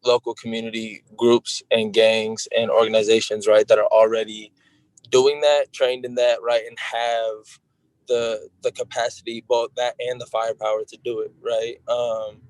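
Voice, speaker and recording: Man in casual discussion, fairly even pitch. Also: normal speed, dry recording, low-quality recording. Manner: tentative, hedging, frequent "like" and "right". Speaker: discussion group participant.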